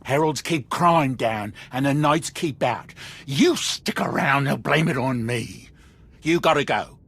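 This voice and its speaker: An actor playing a nervous, shifty street informant with a husky voice and slangy, stammering speech in a video game. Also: male voice, mid-range voice, animated, a little slow, a bit bright, full character voice. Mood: defensive. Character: nervous, shifty male street-level informant, eager to placate.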